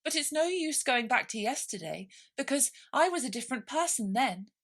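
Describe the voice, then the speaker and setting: A woman's voice: sits high, sing-song, normal speed, fairly bright tone, pitched above the performer's own voice, heavily acted. A woman voicing a polite, timid young girl in a storybook reading.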